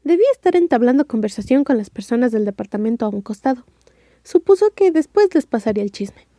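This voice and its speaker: A woman's voice: on the high side, animated, quick pace, dark tone, dry recording, clean studio sound. A woman with a Mexican accent narrating an audiobook story in a wry, scripted style.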